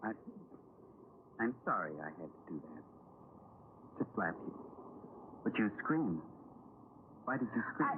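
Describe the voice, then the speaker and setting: An actor playing an eccentric, menacingly polite male stranger in an old radio thriller, speaking in a pedantic, deliberate way. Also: lowish voice, sing-song. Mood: menacing calm. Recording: tinny, noisy old radio broadcast.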